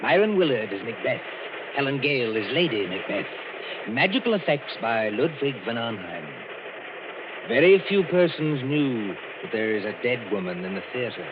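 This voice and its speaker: A man narrating an old radio drama in a dramatic, measured, ominous way. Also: medium pitch, very animated, background noise. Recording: dull old radio broadcast with music.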